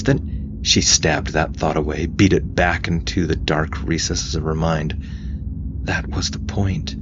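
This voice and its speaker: A man reading scripted audiobook fiction in a dramatic, intense way with deliberate emphasis. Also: deep voice, dull audio. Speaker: narrator. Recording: faint noise behind voice.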